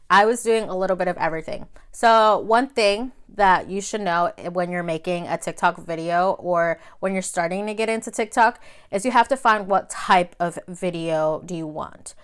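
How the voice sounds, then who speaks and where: A woman's voice: on the low side, smooth. A woman who is a native Spanish speaker, chatting casually in an advice vlog, conversational and repeating phrases for emphasis. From Mexico. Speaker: video creator.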